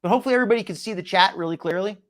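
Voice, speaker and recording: A man's voice: high voice, racing. A man with a slight New Jersey accent hosting a show, gossiping and mocking sarcastically. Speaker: host. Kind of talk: mocking reaction commentary.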